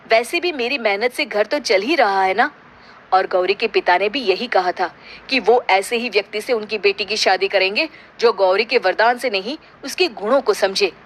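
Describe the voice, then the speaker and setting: A woman's voice: mid-range voice, normal speed, lightly acted. A woman voicing a principled young village husband in an earnest, reasoning manner for a cartoon story. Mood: reasoning, protesting.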